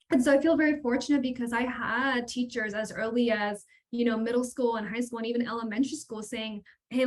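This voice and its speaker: A woman in her thirties from Hawaii with an American accent, a facilitator sharing personal anecdotes casually on a video-call panel. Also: a little high. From Hawaii, United States. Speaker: professional learning facilitator, former teacher. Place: indoors in front of a colourful backdrop.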